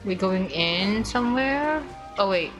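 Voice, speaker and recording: A woman's voice: medium pitch, animated, slow pace, rough recording. A woman game streamer reacting casually and briefly to what she plays. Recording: game music behind.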